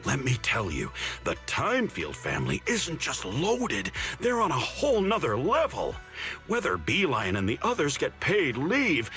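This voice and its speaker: A man's voice: mid-range voice, fairly bright tone, heavily acted. A man playing a glib, eager young mystic-arts disciple in an anime-style game, cajoling like a theatrical salesman.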